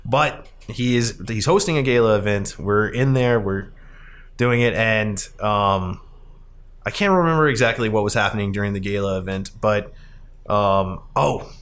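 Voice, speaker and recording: A man's voice: on the low side, normal speed, a bit bright, clear, resonant tone. Rambling man hosting a podcast. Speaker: podcast host. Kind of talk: casual chat, recapping a film.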